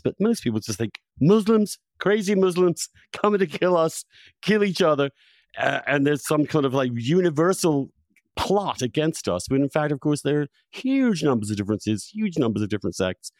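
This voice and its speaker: A man's voice: medium pitch, darkish tone, no room sound. A man hosting a conversational interview, discursive and often breaking off to rephrase himself. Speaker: podcast host, interviewer.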